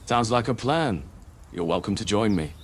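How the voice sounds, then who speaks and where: Male game paladin, acted by a man: lowish register, calm authority, courteous, firm and principled. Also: normal speed, a little hiss or hum, lightly acted. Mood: polite, composed. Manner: polite, composed, firm. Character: noble, calm, righteous male paladin hero. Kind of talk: fantasy game dialogue.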